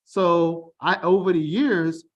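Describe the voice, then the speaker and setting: A man's voice: sits high, fairly even intonation, takes it slowly, muffled recording. A middle-aged man from Baltimore with a mostly standard American accent and a slight Baltimore tinge, giving an online information session in a direct, conversational way and often checking in with "okay?" and "all right?". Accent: General American, mild Baltimore. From Baltimore, United States. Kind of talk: online presentation. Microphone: video call.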